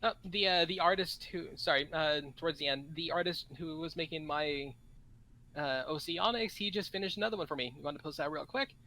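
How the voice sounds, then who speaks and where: A man on a podcast panel with a bright, sharp-edged voice, pitched a little high. He chats casually and haltingly, restarting as he goes. There is faint noise behind him. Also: low-quality recording. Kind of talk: casual group chat.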